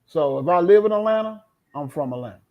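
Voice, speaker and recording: A man's voice: high voice, expressive, fast talker, dull audio. A man from North Carolina, a guest on a live stream, telling playful stories in a casual, boastful way. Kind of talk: casual storytelling.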